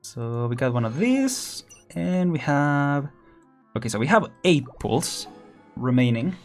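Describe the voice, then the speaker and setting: A man's voice: on the high side, big pitch swings, unhurried, soft background music. A young man casually commenting while streaming a game, chatty and laughing often, counting down as he plays. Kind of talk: casual live gameplay commentary.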